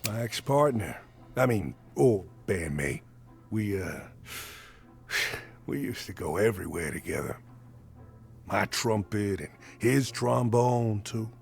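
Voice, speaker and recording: A man's voice: on the low side, animated, slow pace, creaky, pauses often, exaggerated voice. An actor playing an earnest, chipper male musician in a narrative game, speaking in a hesitant, folksy way. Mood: wistful, subdued. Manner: hesitant, folksy, mild exclamations. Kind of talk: voiced dialogue in a narrative RPG.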